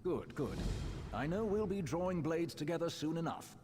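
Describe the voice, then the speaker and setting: An actor playing a formal, welcoming and zealous guild recruiter in video game dialogue. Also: male voice, fairly high pitch, sing-song, normal speed, darkish tone. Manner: formal, courteous. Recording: faintly noisy game capture.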